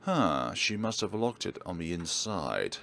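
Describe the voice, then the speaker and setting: A man narrates a game as a let's play host, theatrical and emphatic. His low voice moves slowly with wide swings in pitch and a creaky edge, with music behind. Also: very animated, fairly bright tone. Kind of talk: dramatic game narration and commentary.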